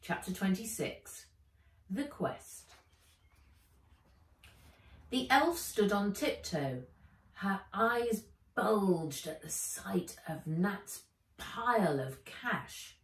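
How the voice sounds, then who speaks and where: Slow, expressive woman storyteller in roomy space. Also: medium pitch. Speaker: author reading own book aloud. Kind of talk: expressive children's storybook narration. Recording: roomy echo, a little far from mic.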